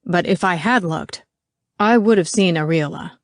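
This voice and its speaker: A woman's voice: lowish voice, normal speed, no echo, studio quality, close to an everyday voice. A woman voicing an awkward, defensive middle-aged male teacher who rambles earnestly in an audiobook. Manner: rambling, self-incriminating, earnest.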